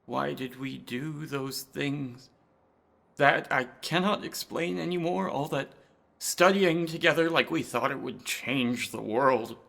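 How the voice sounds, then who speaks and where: A man's voice: medium pitch, takes it slowly, gravelly, fairly bright tone. A man playing a haunted, intense writer of horror stories in a radio drama, speaking in an earnest, florid, articulate way. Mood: bleak, brooding. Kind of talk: theatrical radio drama acting.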